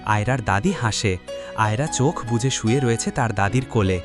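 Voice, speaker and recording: A man's voice: fairly low pitch, normal speed. A man narrating a scripted dramatic love story as a storyteller. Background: music.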